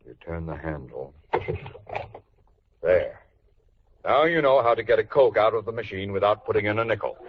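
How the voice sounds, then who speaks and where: An actor playing a bossy older banker and husband in a vintage radio comedy, pompous and blustering. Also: male voice, lowish voice, lively intonation, moderate pace, long pauses, natural voice. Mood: conspiratorial. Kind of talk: 1940s-50s radio sitcom acting. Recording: muffled old radio recording, faint hiss.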